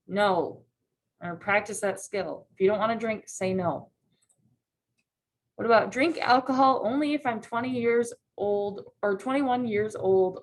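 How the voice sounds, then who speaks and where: A woman's voice: mid-range voice, slight background noise. A woman teaching a simple lesson, patiently reading choices aloud and checking in with her students. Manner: patient, checks in with frequent "right?" questions. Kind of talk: classroom lesson read-aloud.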